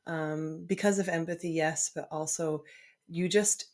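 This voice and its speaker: A woman hosting a podcast, talking thoughtfully with pauses and fillers. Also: deep voice, steady tone, a little mellow. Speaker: podcast host. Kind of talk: conversational interview.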